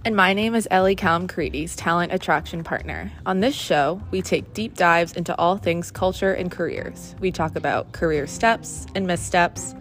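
A woman's voice: on the low side, normal speed, few pauses, fairly bright tone. A woman co-hosting a show, reading a scripted introduction. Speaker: podcast co-host. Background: guitar music.

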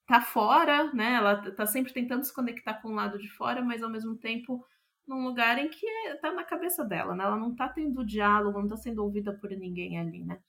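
A woman's voice: on the high side, animated, fairly quick, fairly bright tone. A woman with a Brazilian accent speaking reflectively as an interview guest, searching for her words and trailing off.

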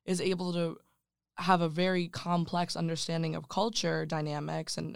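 An interviewer leading a casual book discussion, thoughtful and hesitant with many pauses. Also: mid-range voice, vocal fry, clear, resonant tone, no echo, studio quality. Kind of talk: casual conversation.

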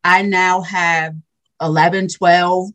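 A woman's voice: lowish voice, level tone, slow pace, a bit bright. Upbeat woman hosting a podcast interview. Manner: upbeat, chatty, laughs easily. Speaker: podcast host. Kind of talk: casual interview talk.

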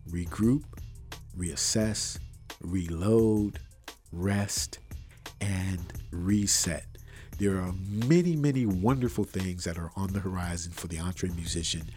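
A man's voice: slow pace, darkish tone, soft background music. A man hosting a faith-tinged motivational podcast, earnest and preachy, repeating phrases for emphasis, recorded in an untreated room. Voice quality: bassy voice. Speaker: podcast host. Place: untreated room with wires, not acoustically treated.

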